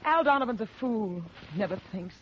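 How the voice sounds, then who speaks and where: A woman's voice: medium pitch, expressive, fairly quick, lightly acted. Casting: woman to play desperate, theatrical detective-serial widow, very mobile intonation, dull old broadcast with faint noise. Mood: agitated. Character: cool, brittle, desperate femme fatale widow. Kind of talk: old-time radio drama acting. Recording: muffled, noisy vintage radio recording.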